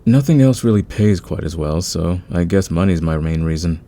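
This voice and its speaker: A man playing a calm, friendly veteran thief in an ASMR audio roleplay, speaking in a patient, soothing, conversational way. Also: deep voice, moderate pace. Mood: friendly, easygoing. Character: calm, friendly veteran male thief smoothing over team tensions.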